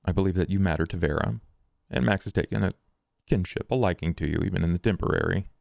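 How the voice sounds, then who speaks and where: Man playing a very deep, gravelly vampire. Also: a little mellow, no room sound, clean studio sound. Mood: grim, assured. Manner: deliberate, formal, threatening. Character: menacing, calculating male vampire, grimly protective.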